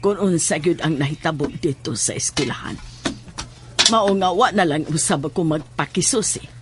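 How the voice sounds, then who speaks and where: A woman playing a stern mother in a horror audio drama, deliberate and insistent in her speech. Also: low-pitched, very noisy, lightly acted. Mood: stern probing. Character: stern, probing mother with occult leanings.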